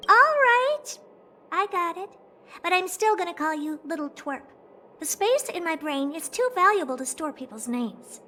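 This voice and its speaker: A woman's voice: very high-pitched, very animated, moderate pace, pushed and bright, a little hiss or hum, full character voice. A woman voicing a haughty, blunt, self-important female genius who owns a space station, in an English video game dub. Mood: dismissive, brisk. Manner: haughty, blunt, dismissive, easily intrigued.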